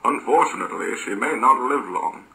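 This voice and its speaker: A solemn deity of healing from a mythology cartoon, put on by a man. The speech is grave and measured, pitched up from his usual voice, with a bright edge. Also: lively intonation, gravelly, low-quality recording. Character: grave health deity delivering dire news. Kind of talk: amateur mythology cartoon dialogue.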